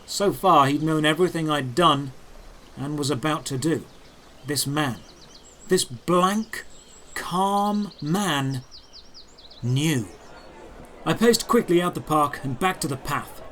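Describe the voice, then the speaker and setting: A man's voice: fairly high pitch, takes it slowly, long pauses, a bit bright, slight background noise. A man giving dramatic, scripted first-person narration for a fantasy audio drama.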